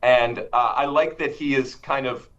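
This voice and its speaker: A man's voice: mid-range voice, fairly even intonation, measured pace, a bit bright, muffled recording. A man speaking as a sports guest, enthusiastic and rambling with fillers. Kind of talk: interview conversation.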